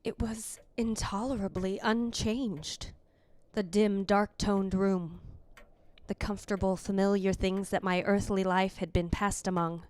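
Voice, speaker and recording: A woman playing the wistful, fastidious ghost of a dead wife in a measured, formal radio drama monologue. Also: mid-range voice, level tone, darkish tone, close to an everyday voice. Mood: wistful, hurt.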